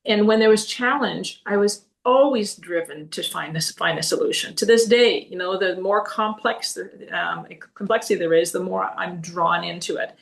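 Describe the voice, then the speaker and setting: A middle-aged woman with a slightly low, gravelly voice, whose first language is Greek. She answers reflectively in an interview, earnest and full of fillers and restarts. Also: normal speed, hardly any pauses. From Canada. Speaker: podcast guest, leadership consultant.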